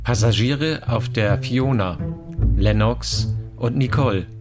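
A man's voice: medium pitch, slow, over music. A man reading out scripted cast credits for an audio drama.

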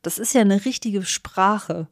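A woman's voice: mid-range voice, measured pace, dry recording, clean studio sound. A woman co-hosting a casual podcast, talking informally and thinking aloud in loosely built sentences. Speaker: podcast co-host.

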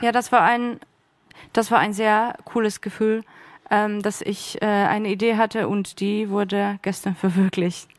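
A woman talks slowly and quite evenly about her radio piece being realized. Her tone stays level as she sounds pleased. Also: medium pitch, flat delivery. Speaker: workshop participant, author.

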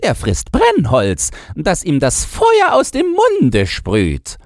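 A man voicing a boastful, nostalgic old chained dog in a shrill, yapping falsetto for a storybook. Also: wildly swooping pitch, takes it slowly, no echo, studio quality, heavily acted. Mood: excited, knowing. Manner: knowing, exclamatory, barking.

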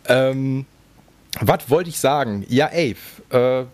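Chatty Ruhr-accented podcast host. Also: male voice, medium pitch, expressive, takes it slowly, a little mellow. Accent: Ruhr German. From Ruhr area, Germany. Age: 30s. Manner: chatty, loose, many fillers. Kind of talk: casual podcast interview.

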